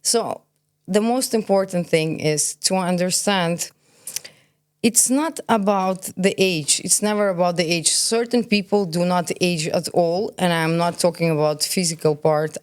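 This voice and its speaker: A woman's voice: fairly low pitch, normal speed, clear, resonant tone. A woman around forty, born in Kazakhstan and raised in Belgium, speaking candidly in an interview. She is opinionated and emphatic, often posing questions and answering them herself. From born in Kazakhstan, grew up in Belgium. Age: about 40. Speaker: podcast guest, cosmetologist.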